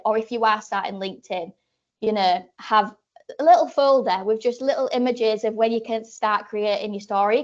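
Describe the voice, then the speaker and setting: A young woman with a Yorkshire accent talks through a presentation in a chatty, rambling way, often restarting and adding fillers. Her voice sits at a middling pitch, and the recording sounds a little dull and lo-fi. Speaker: presenter giving a talk to apprentices. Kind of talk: informal presentation.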